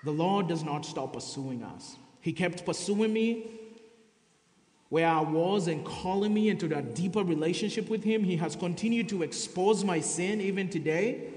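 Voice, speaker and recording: A man's voice: high-pitched, moderate pace, darkish tone. A man from Kenya with a Kenyan accent, preaching a sermon and sharing his testimony in earnest. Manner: earnest testimony, reading scripture aloud. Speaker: guest preacher. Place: church sanctuary. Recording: echoey church hall.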